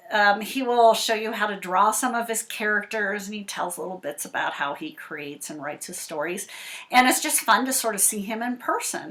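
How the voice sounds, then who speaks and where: A woman talking to young children as a school librarian, explaining things with pauses and emphasis on key words. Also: medium pitch, pushed and bright. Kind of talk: read-aloud talk for young children.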